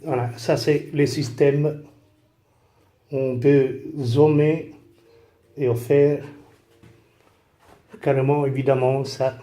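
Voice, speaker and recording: Slow hesitant man, native Italian speaker. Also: medium pitch, level tone, mellow. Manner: hesitant, halting, many fillers and restarts. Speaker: vlogger reviewing camera gear. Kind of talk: casual product tutorial.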